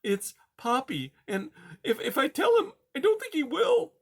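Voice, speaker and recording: A man voicing a timid squeaky mouse girl. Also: huge pitch swings, measured pace, creaky, full character voice. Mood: frightened. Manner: stammering, faint, breathless with fear. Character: timid young female mouse, frightened and pleading.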